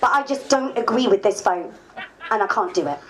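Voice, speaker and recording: A woman's voice: mid-range voice, animated, a little fast, very noisy, lightly acted. A woman playing an earnest, politely stubborn new member of parliament in a dramatised diary reading. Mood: reluctant, firm.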